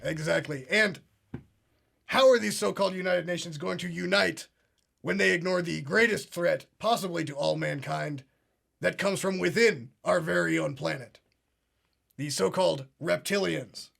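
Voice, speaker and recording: A pompous conspiracy show host with a gravelly, husky voice that sits fairly high. He speaks in a solemn, earnest way and plays every claim completely straight. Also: moderate pace, fairly bright tone, heavily acted. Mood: smug earnest. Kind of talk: deadpan parody talk show.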